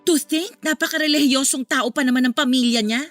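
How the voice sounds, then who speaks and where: A woman with a Filipino accent playing a protective, easily scandalized confidante, expressive and emphatic, in an audio drama. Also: high voice, a little fast, faint music behind.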